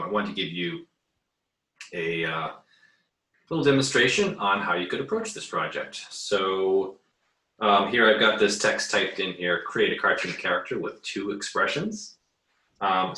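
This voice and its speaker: Low, bright-voiced man teaching, roomy distant mic. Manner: easygoing, hesitant, thinking aloud while drawing. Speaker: art teacher giving an assignment demo. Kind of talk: casual screen-recorded drawing tutorial.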